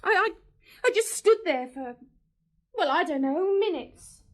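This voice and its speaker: A high-voiced young woman, defensive and sharp-tongued, radio drama. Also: animated, a little slow. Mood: shaken. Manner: prickly, defensive, swears. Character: grieving, defensive young gangster's girlfriend.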